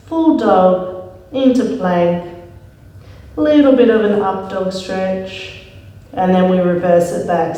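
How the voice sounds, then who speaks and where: A woman leading a yoga class with calm, gentle spoken cues. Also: medium pitch. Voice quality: slightly raspy when straining. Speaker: yoga instructor. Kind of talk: guided yoga class instruction. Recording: very echoey room, mic at a distance.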